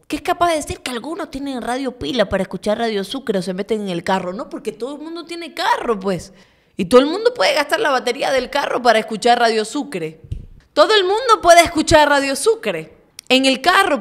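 This is a woman with a fairly high voice, hosting opinionated commentary. She speaks straight into a close microphone. Her delivery is indignant and emphatic, with a thick vein of sarcasm. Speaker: host. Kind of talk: opinionated political commentary to camera. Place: small furnished indoor studio. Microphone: desk condenser microphone, close.

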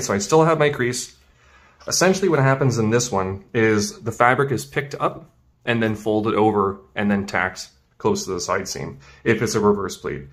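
A man teaching as a tailoring instructor in a casual hands-on demonstration, informal and thinking aloud as he works. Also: fairly low pitch. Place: indoors at a work table.

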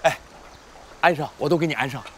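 Actor as a folksy, stubborn middle-aged villager. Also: male voice, fairly high pitch, fairly quick, fairly bright tone, slight background noise, natural voice. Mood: insistent, stubborn.

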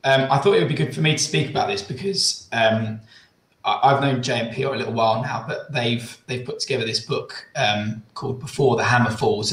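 A man speaking as a guest on a property webinar over a video call, relaxed, with frequent ums. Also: mid-range voice. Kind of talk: casual webinar talk. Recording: echoey room on a video call.

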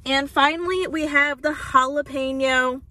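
A woman's voice: sits high, steady tone, measured pace, pushed and bright. A young woman in her twenties chatting casually through a food review, filling her talk with "like" and "um", recorded in a parked car. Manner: chatty, lots of "like" and "um". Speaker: food vlogger. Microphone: phone camera on dashboard.

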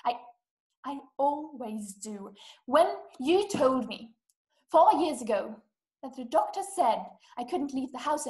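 High-pitched fragile housebound wife acted by a woman. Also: roomy sound. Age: young adult. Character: fragile, obedient housebound wife, distraught and pleading. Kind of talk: stage acting in a video-call play. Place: small room indoors. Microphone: video call, webcam.

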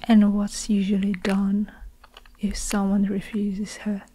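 A young woman tells a story in a breathy ASMR whisper. She moves extremely slowly and deliberately, leaving long gaps between phrases. Her delivery stays even and very mellow. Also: medium pitch. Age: young adult. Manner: slow, hushed, deliberate, with long pauses. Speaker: ASMR performer narrating a story.

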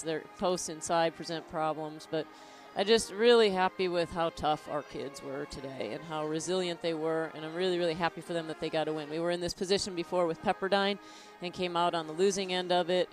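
A woman's voice: low-pitched, rough recording. A tired American woman in her thirties, a basketball coach giving a post-game interview on a headset mic. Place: courtside in an indoor gym.

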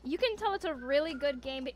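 Woman, high voice, VTuber game streamer, casual chat, playful, teasing, theatrical, music in background. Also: lively intonation, fast. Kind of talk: casual livestream chat, reacting to viewers' messages.

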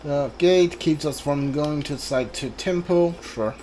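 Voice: mid-range voice, unhurried, dark tone, slight background noise. A video game streamer giving casual commentary and reading on-screen dialogue aloud, muttering informal asides. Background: video game ambience.

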